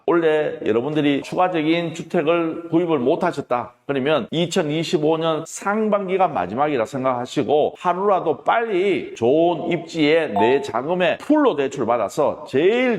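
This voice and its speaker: A man's voice: fairly high pitch, a little mellow, in a large hall. Emphatic man with a stuffy nose. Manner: emphatic, rhetorical questions, ends points with "that is what I mean". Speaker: real estate auction mentor. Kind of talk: persuasive lecture-style talk to viewers.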